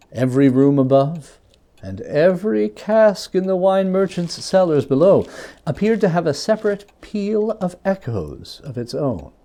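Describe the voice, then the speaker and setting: A man narrates a literary tale with theatrical flair. His mellow voice moves widely up and down in pitch. He is deliberate, drawing out key words for emphasis. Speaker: storyteller reading a classic tale aloud.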